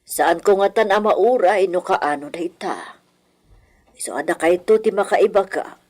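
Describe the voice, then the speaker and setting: A woman as a low, husky elderly matriarch. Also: moderate pace, darkish tone, lightly acted. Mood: secretive, grave. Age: older. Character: elderly wealthy matriarch, brooding and secretive. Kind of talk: radio drama acting.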